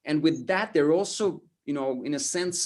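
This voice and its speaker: A man with an Estonian accent, speaking English as a second language, talking as an interview guest, halting with many restarts. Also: on the high side, darkish tone. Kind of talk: casual interview talk.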